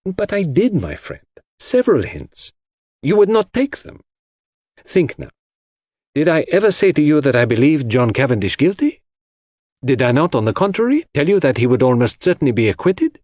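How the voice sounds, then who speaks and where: A man's voice: fairly high pitch, big pitch swings, normal speed, a little mellow, dry recording, studio quality. A man voicing a shrewd, self-assured foreign detective who explains in a theatrical, didactic way in an audiobook. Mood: teasing, patient. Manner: theatrical, didactic, sprinkles French phrases.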